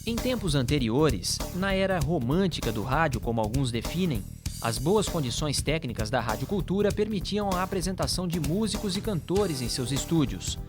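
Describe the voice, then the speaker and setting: A man's voice: a little high, animated, moderate pace. Brazilian male narrator over prominent music. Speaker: documentary narrator. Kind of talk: scripted documentary narration. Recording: music bed behind voice.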